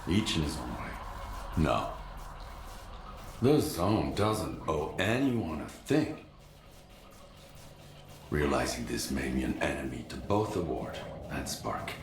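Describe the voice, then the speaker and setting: An embittered low-voiced loner in an echoey room. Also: male voice, very animated, slow, pauses often, heavily acted. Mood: grim, resolute. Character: embittered, defiant male loner of a forbidden zone. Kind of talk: video game cutscene acting. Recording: roomy, echoing game audio.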